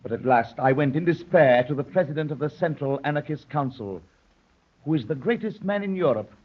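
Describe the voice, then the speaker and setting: A dull, worn 1930s radio recording holds a proud anarchist poet. The voice is fairly high and roughly husky. He declaims with theatrical formality and intense self-importance. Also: animated, a little fast. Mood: fervent, confiding. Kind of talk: 1930s radio drama acting.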